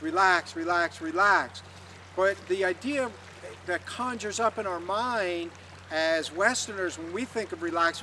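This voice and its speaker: A man teaching tai chi, guiding students calmly and patiently through an exercise step by step. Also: sits high, far from the mic, slight background noise. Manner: calm, patient step-by-step guidance. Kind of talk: instructional talk with demonstration.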